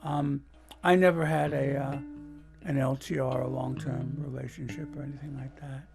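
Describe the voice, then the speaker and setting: A man talking casually and candidly, in a halting way that often repeats phrases. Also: medium pitch, flat delivery, gravelly, over music. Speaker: blind interview subject in an audio documentary. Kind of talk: casual candid talk and reminiscence.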